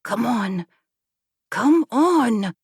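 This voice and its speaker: A woman voicing a nervous, cautious young friend in a fantasy audiobook, anxious and hushed. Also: a little high, lively intonation, drawn-out words, gravelly, slightly rough, no echo, studio quality, voice pushed up, full character voice. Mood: alarmed hissing.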